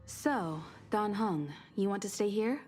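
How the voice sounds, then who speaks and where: A woman voicing a composed, authoritative crew leader in a video game, measured and formal. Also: mid-range voice, lively intonation, a little mellow, soft background music, natural voice. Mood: composed, decisive. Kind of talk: English video game voice acting.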